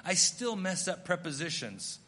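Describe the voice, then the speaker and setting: A man's voice: high voice, big pitch swings, a little slow, pushed and bright. Earnest preaching man in a hall. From United States. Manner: earnest, self-deprecating storytelling. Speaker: missionary pastor. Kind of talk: sermon.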